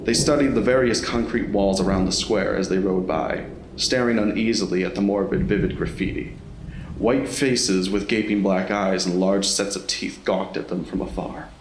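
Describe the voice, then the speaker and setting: Male narrator for a scripted audio drama, lower register, roomy echoing recording. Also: normal speed, slight background noise.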